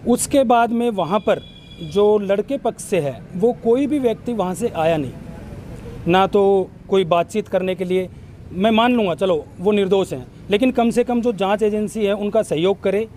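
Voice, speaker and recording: A man's voice: high-pitched, normal speed, warm tone. A man from Haryana speaks to a news reporter. He explains things earnestly and insistently. Light outdoor street noise sits behind him. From Haryana, India. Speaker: villager interviewed by local news. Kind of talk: on-camera news interview testimony.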